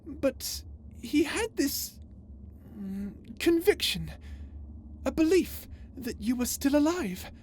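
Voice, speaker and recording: A woman playing an unhinged, vengeful, lovesick and tormented space villain in an ASMR roleplay drama, speaking in a theatrical, halting way. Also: sits high, big pitch swings, a little mellow, slight background noise, exaggerated voice. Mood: sweetly sinister. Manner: theatrical, halting, swinging between tender menace and anguish.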